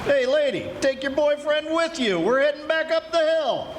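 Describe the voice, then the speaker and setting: Woman playing brash, very high-pitched cable car conductor. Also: normal speed, lightly acted. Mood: brash, impatient. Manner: calling out, streetwise. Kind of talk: 1940s radio comedy-mystery acting. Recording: noisy live stage recording.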